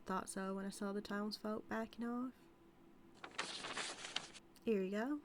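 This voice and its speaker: A woman's voice: mid-range voice, steady tone, unhurried, natural voice. Woman acting a teasing Southern outlaw cowgirl, noisy recording. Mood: teasing, sly. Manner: drawling, teasing, folksy. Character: reformed outlaw cowgirl, teasing, dominant, dangerously infatuated. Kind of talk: scripted audio roleplay, intimate character acting.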